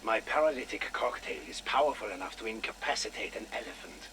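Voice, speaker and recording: Sinister, animated German doctor, played by an actor. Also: male voice, on the low side, fast, natural voice. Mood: smug, deferential. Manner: theatrical, menacingly polite, savouring his words. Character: sinister German doctor and torturer, gloating Soviet operative. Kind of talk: video game audio log acting. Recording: noisy radio-style audio log.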